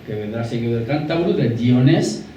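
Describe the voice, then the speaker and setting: A man's voice: mid-range voice, expressive, moderate pace, warm tone. A man on a discussion panel, answering an audience question in an emphatic, pessimistic way. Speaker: panel speaker. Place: lecture hall. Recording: echoey hall, distant mic, background noise.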